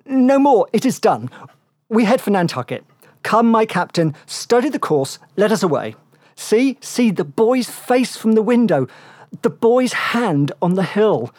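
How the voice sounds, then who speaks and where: A woman's voice: mid-range voice, darkish tone, no room sound. A woman voicing a loyal, homesick male first mate who implores earnestly in archaic phrasing, in an audiobook. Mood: fervent hope. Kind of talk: dramatic audiobook reading.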